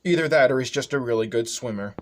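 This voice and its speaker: A man's voice: medium pitch, fast. A young man chatting casually and offhandedly as he shows off a hobby project, rambling and self-deprecating. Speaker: host of a toy customizing channel. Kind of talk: casual hobby showcase chat. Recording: clearly noisy homemade recording.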